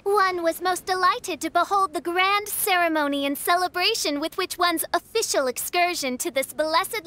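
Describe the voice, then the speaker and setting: A woman's voice: very high-pitched, bright, forceful tone. A woman voicing a haughty, theatrical teenage self-styled princess in a video game, with pompous archaic diction. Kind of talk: video game character dialogue.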